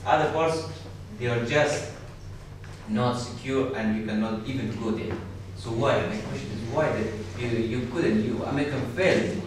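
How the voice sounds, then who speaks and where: Young male student from Afghanistan, insistent questioner, far from the mic, audible room noise. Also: mid-range voice. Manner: insistent, halting non-native phrasing. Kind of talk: audience question. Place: university lecture hall. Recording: echoey lecture hall from far off.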